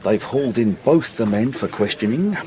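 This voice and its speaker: An actor playing a gossipy, deferential cafe waiter in a radio drama, chatty and eager. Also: male voice, medium pitch, animated, normal speed, noisy background, lightly acted. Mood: eager, confiding.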